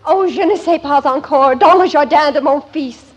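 An actress playing a dramatic, affected teenage daughter showing off in a vintage 1950s radio comedy. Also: female voice, sits high, normal speed, lo-fi sound, heavy background noise, close to an everyday voice. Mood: airy, affected. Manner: affected, airy. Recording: vintage radio broadcast recording.

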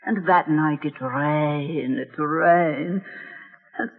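Grieving mother, woman, husky, echoing hall, muffled vintage radio drama. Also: sits low, extremely slow, exaggerated voice. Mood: sorrowful. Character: grieving older Polish mother in mourning, gracious. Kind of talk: old-time radio drama acting. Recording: muffled vintage radio recording, reverberant, music.

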